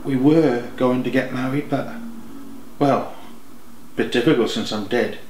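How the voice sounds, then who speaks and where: A regretful young man who has died, acted by a male narrator, speaks with halting, raw emotion. He is a tender, self-correcting son in an audiobook drama. The sound is roomy, with faint background noise. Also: medium pitch, darkish tone, natural voice. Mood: rueful.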